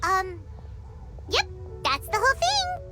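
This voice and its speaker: Squeaky little fairy girl for a game, chatty and excitable, voice bouncing all over, slow drawn-out delivery, loud music behind. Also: heavily acted. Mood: storytelling. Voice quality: high squeaky childlike voice. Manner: animated, playful storytelling with big swings. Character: tiny chatty female fairy companion, excitable. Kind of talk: video game cutscene dialogue.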